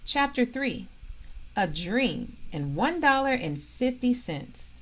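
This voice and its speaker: A woman reading a children's storybook aloud in an expressive, deliberate way, pausing for emphasis. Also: fairly high pitch. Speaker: storybook reader, organisation president.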